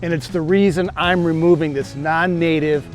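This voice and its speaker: A man hosting a gardening show, giving steady, scripted, explanatory narration. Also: a little high, normal speed, faint music behind.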